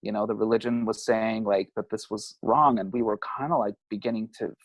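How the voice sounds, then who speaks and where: A man's voice: fairly low pitch, steady tone, brisk, dry recording. A man in his late thirties, a family therapist talking candidly as an interview guest, reflective, laughing often and swearing casually. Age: 30s.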